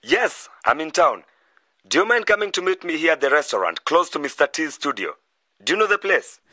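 A man playing a knowledgeable, easygoing mentor in an educational radio drama, explaining things in a measured, articulate way. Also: on the high side, sing-song, pushed and bright, no echo, heavily acted. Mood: friendly. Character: knowledgeable, easygoing male media mentor advising young friends.

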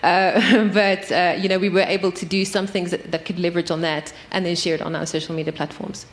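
A woman's voice: on the low side, little pitch movement, a little fast. A woman explaining her work in an informal panel discussion. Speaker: radio programming head on a panel.

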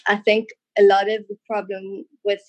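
A woman talks casually as an interview guest. Her mid-pitched voice is recorded dry, and she comes across as thoughtful and encouraging. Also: flat delivery, normal speed, no echo, lo-fi sound. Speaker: podcast guest, former tour guide.

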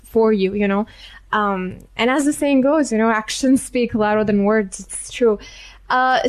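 A woman hosts a morning radio interview. Her voice sits somewhat high, and she asks her questions in a measured way, often adding affirming replies. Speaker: radio show host.

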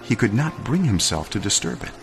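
A man giving a dramatic scripted story reading, measured and solemn, with deliberate pauses and expressive phrasing. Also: lowish voice, over music. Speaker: storyteller, narrator.

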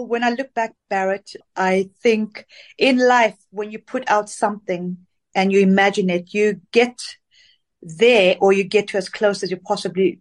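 A middle-aged woman from Zambia answers interview questions at a middle pitch. She tells her story in an easygoing, reflective way, with small pauses and fillers as she remembers. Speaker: interview guest, dance singer. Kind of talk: casual interview conversation.

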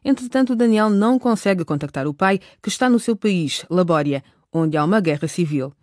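A radio drama narrator who gives a clear, measured recap. The recording is dry and close, with no room sound. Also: mid-range voice, expressive, no room sound. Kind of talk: scripted narration.

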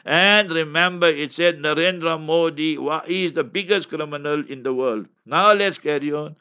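Male cleric, scornful and blunt commentator, sharp forceful voice pitched a little high, slightly muffled lo-fi recording. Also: moderate pace. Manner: acts out quotes and rhetorical questions. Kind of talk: fiery political commentary with religious quotations. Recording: dull lo-fi audio.

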